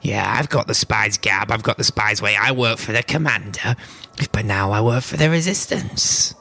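A man's voice: lowish voice, normal speed, creaky, sharp tone, full character voice. A man voicing a shifty little male gnome spy who boasts and insists. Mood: boastful.

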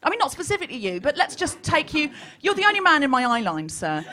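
A woman comedian with a high-pitched, swooping voice performs to a live audience. She is animated and mock-serious, playing up every point as a podcast host. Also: fast. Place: small live comedy venue. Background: audience laughter.

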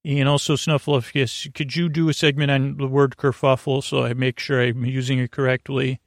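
A man hosts a bedtime podcast in a creaky, gravelly voice at an ordinary male pitch, recorded very dry and close. He meanders whimsically, stumbling over words and doubling back to correct himself as he rambles to help listeners sleep. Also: level tone, dry recording. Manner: halting, meandering, with stammers and self-corrections; goofy, whimsical. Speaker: podcast host.

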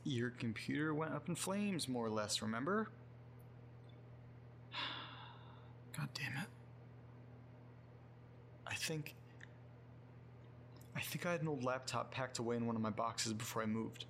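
A man playing a muddled, self-deprecating guy muttering sweary, halting asides to himself in a horror audio drama. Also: medium pitch, lively intonation, creaky, fairly bright tone. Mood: weary, self-berating. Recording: noticeable background noise with hum.

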